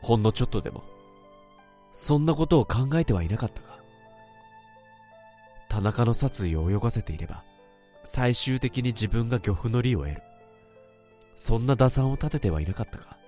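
A man's voice: mid-range voice, expressive, normal speed, long pauses, mellow, faint music behind, lightly acted. A man playing a remorseful office worker who hears others' thoughts, narrating his story in an introspective, self-questioning way. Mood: self-accusing. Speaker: narrator and lead character. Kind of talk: radio drama acting and narration.

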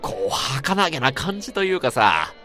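A man acting in a radio drama as an excitable, sentimental old friend, chatting in an emphatic, colloquial way. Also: fairly high pitch, moderate pace, soft background music. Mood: wistful, excited. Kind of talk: radio drama dialogue, casual banter.

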